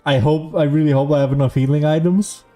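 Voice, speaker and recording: A man's voice: a little high, steady tone, fast talker, mellow, faint music behind. A man who is a native German speaker, streaming a video game with casual, rambling, self-deprecating commentary.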